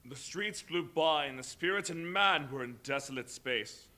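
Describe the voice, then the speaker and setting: A man narrates a live stage play in a steady, storytelling way. His tone is bright and slightly raised in pitch. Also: moderate pace.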